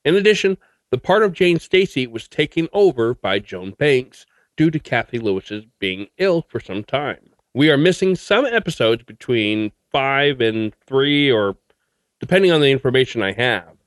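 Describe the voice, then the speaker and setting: A man's voice: mid-range voice, very animated, normal speed, no echo. A male podcast host giving a chatty, informative introduction, sometimes hesitant.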